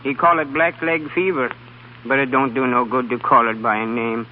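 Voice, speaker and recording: Tinny radio actor as immigrant farmer. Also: male voice, medium pitch, steady tone, natural voice. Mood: worried, pleading. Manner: broken English, halting and folksy. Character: plain-spoken male immigrant farmer, worried and humble. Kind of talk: old-time radio drama acting. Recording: tinny, hissy old radio recording.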